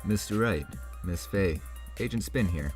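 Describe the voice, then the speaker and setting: A man's voice: deep voice, animated, measured pace. An actor playing a laid-back, playful male secret agent with a breezy, teasing manner in an audio drama. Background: music.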